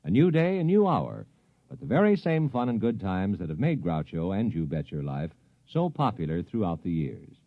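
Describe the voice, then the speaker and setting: A man's voice: low-pitched, big pitch swings, moderate pace, no room sound. A man reading an upbeat 1950s radio promo in a salesmanlike announcer style, on a tinny old broadcast.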